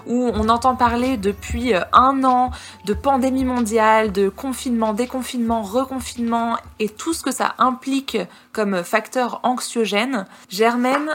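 A woman's voice: on the high side, moderate pace, a bit bright. A woman hosting an interview, asking long rambling questions full of fillers. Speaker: podcast host.

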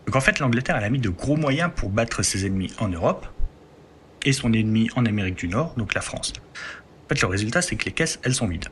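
A man telling history in a conversational podcast, explaining at length and filling pauses as he builds his sentences. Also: on the low side, expressive, a bit bright, slight background noise. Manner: explanatory, leans on filler words while building sentences.

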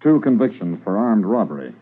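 A man playing a brisk, authoritative senior police officer in a 1950s radio drama, measured and questioning. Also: fairly low pitch, big pitch swings. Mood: businesslike. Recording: tinny narrow-band old radio recording.

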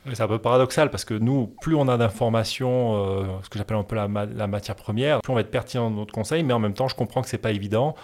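A man working as a wealth management advisor, answering interview questions in a measured, explanatory way with frequent hesitations. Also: on the low side, a little mellow.

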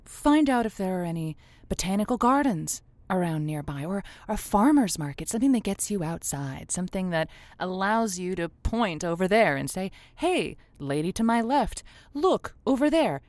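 Actress doing playful radio advice host lady, saucy n teasing but encouraging, mid pitch, dry close sound. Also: big pitch swings, moderate pace, few pauses, a little mellow, dry recording, natural voice. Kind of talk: improvised audio comedy dialogue.

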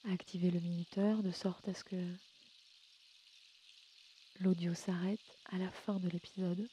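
A woman leading a guided sleep meditation in a gentle, soothing, unhurried way, often slipping into a breathy near-whisper. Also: lowish voice, little pitch movement, a little hiss or hum, poor audio. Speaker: yoga nidra guide and podcast host. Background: music.

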